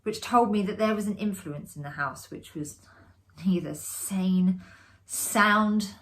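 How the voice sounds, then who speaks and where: A woman giving a measured, dramatic performed reading of a classic ghost story, with expressive pauses. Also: medium pitch.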